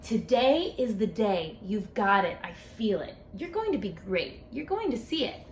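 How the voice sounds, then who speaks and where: A woman reading a rhyming picture book aloud for children, speaking expressively and deliberately, straight to her young listeners. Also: mid-range voice, big pitch swings. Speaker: children's book author and read-aloud presenter. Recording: echoey room with faint background noise.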